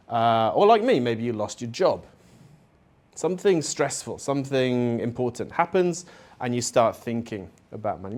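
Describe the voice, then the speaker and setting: Man, forties, conference presenter, casual chatty delivery, fillers and asides, mid-range pitch. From Spain. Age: 40s. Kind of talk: conference talk. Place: conference venue.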